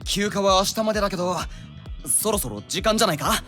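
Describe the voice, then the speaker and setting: An actor playing a caring, rough-spoken young male investigator's partner in an audio drama, casual, blunt and expressive. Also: high-pitched, fast talker. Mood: upbeat, teasing. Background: music.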